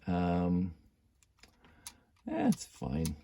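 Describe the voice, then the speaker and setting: Stuffy, low, gravelly-voiced man. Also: wildly swooping pitch, extremely slow, mellow. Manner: rambling, self-deprecating, hums and mutters while working. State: stuffy from a cold. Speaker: building hobbyist vlogger. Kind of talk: casual thinking-aloud while building.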